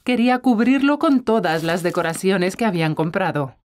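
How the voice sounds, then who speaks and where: A woman's voice: medium pitch, lively intonation, moderate pace, a little mellow. A woman narrator reading a scripted children's story.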